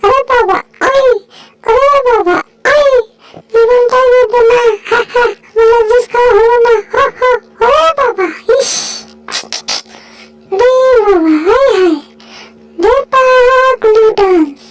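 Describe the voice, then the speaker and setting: A tiny cartoon mouse squeaks in a very high, childlike voice. It is excitable and whiny, full of exclamations and complaints, with music playing behind it. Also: female voice, slow. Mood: wailing. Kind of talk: improvised comic cartoon dubbing.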